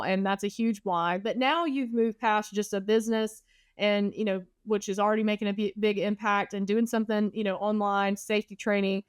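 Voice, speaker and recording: A woman with a Southern accent hosts a podcast in a mid-pitched voice. She talks in a chatty way, often answering her own questions. The sound is dry and close to the mic. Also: no room sound. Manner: chatty, asks rhetorical questions. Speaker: podcast host. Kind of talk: casual interview talk.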